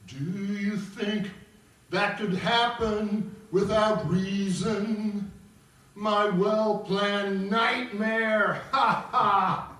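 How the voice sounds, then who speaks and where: Opera role: man as defiant old writer ghost, theatrical, high-pitched, heard in a very echoey room. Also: steady tone, fairly bright tone, exaggerated voice. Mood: rapturous. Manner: theatrical, drawn-out delivery. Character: defiant, profane old male ghost of a drug-addled writer. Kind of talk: sung and declaimed opera. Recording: echoey room, distant mic, music.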